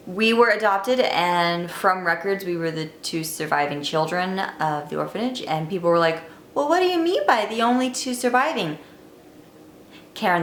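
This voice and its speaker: A woman's voice: fairly low pitch, a bit bright, a little hiss or hum. A twenty-year-old woman vlogging, telling her life story to camera in a candid, wry, chatty way. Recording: echoey room, faint ambience.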